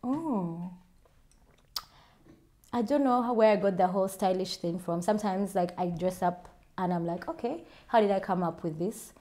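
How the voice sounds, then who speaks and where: A woman's voice: mid-range voice, moderate pace, warm tone. A woman with a Ugandan accent, chatting casually and self-assuredly as she answers viewer questions in a vlog. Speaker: vlogger.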